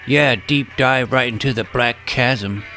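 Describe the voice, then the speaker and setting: A man's voice: medium pitch, unhurried, bright, forceful tone, faint music behind. A man narrating a first-person scripted audio drama as its young protagonist, earnest and precise.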